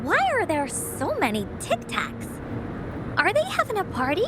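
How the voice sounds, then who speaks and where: A woman's voice: very high-pitched, huge pitch swings, normal speed, background noise, exaggerated voice. A woman playing a cheerful, confident little girl adventurer in an anime-style video game, with a childlike, bold, reassuring manner. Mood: playful.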